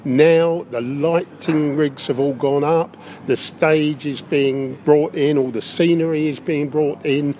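Upbeat man, slightly high voice. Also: steady tone, slow pace, darkish tone. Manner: upbeat, enthusiastic. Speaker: interview guest. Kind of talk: unscripted interview answers. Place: inside a big top tent in a park.

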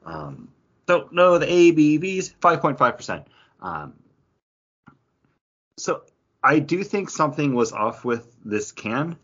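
A man's voice: on the low side, fairly bright tone, highs cut off. A man co-hosting a podcast, chatting casually as he reviews drinks, hesitant and correcting himself as he goes. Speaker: podcast co-host. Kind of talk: casual beer review chat.

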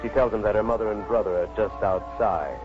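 An actor playing a sober, grave storyteller, narrating a 1950s radio drama in a deliberate way. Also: male voice, on the low side, lightly acted. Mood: reflective. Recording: muffled radio recording, music behind.

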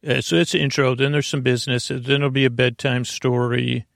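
A man hosting a bedtime podcast, rambling through an intro in a creaky voice with a meandering, halting, muttering delivery. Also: mid-range voice, flat delivery, fairly bright tone, no echo, clean studio sound. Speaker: podcast host.

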